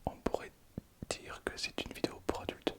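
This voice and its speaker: Young man whispering, playful. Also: normal speed. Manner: whispering, playful, slangy, laughs often. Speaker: ASMR content creator. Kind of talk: whispered ASMR video, reading comments.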